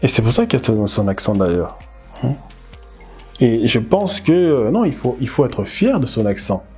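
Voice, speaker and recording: Very husky man, animated commentary. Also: medium pitch, normal speed, soft background music. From DR Congo. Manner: animated, emphatic, repeats words as he thinks aloud. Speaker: language teacher. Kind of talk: casual commentary video.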